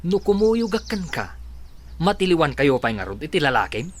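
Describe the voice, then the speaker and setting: An actor playing a sullen, jealous husband who grumbles and accuses in a radio drama. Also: male voice, on the high side, sing-song, moderate pace. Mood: accusing. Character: jealous, grumbling husband, short of money for the household. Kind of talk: Ilocano radio drama acting.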